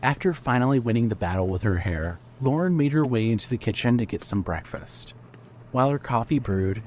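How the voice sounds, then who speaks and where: A man narrates prose fiction from a script in a mid-pitched voice. He reads as a storyteller for an audio fiction podcast. Some noise sits faintly behind his voice. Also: darkish tone.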